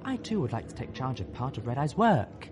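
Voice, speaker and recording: Man as female unicorn, ladylike, formal, compassionate, determined, raised pitch, expressive, audiobook character. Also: fairly quick, music in the background, natural voice. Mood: persuasive.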